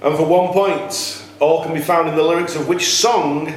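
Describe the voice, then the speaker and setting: Male quizmaster, fairly high and animated, reading clues deliberately, faint background noise. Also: heavy reverb. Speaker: quiz host, question setter. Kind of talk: reading quiz questions aloud. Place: echoey indoor room at a cricket club.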